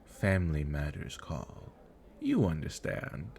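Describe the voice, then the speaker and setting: Suave demon villain brother for audio roleplay, male actor: deep, swooping, mock-polite and flirtatious, over faint noise. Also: wildly swooping pitch, slow, gravelly, a little mellow, heavily acted. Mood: smug, taunting. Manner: drawling, mock-courteous, flirtatious. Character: smug, taunting demon older brother, suave romantic rival. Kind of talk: ASMR roleplay villain acting.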